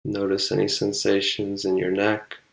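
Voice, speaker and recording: A man leading a guided meditation, speaking calmly and unhurriedly with long pauses between short instructions. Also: on the low side, gravelly, poor audio.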